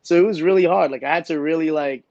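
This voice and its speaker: A man from Brooklyn, a comic creator talking in a relaxed way with street slang as an interview guest. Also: fairly high pitch, fast, no room sound, lo-fi sound. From Brooklyn, New York. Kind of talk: casual interview chat.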